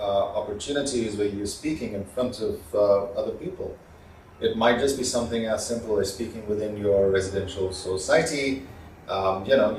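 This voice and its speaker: A man giving an instructional talk as a communication trainer, explaining with emphatic stressed words and pauses. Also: fairly low pitch, a little mellow. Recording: echoey room, distant mic, faint noise.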